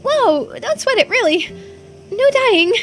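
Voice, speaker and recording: Woman voicing an excitable, very high-pitched teenage girl. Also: takes it slowly, exaggerated voice. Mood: urgent reassurance. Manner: animated, blurting, casual. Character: excitable teenage girl diver with a secret crush. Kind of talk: video game character voice-over. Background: music.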